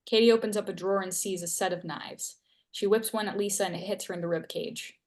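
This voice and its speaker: A woman's voice: mid-range voice, fairly even intonation, quick pace, darkish tone. A woman narrating scripted action as the stage-direction reader at a live screenplay table read.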